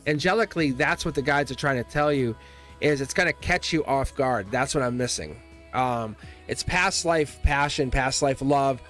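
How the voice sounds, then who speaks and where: A man's voice: medium pitch, moderate pace, fairly bright tone, soft background music. A man giving a live-streamed tarot reading, chatty and teasing, laughing often as he talks to his viewers. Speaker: tarot reader.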